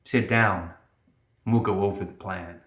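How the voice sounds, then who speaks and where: A man's voice: low voice, fairly even intonation, unhurried, fairly bright tone, echoey room. A man voicing a proud, scornful warrior woman with haughty, threatening disdain in a fantasy audiobook. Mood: grudging.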